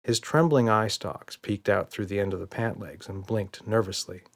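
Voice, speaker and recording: A man's voice: fairly low pitch, normal speed. A man reading a children's audiobook as narrator, in scripted storytelling style.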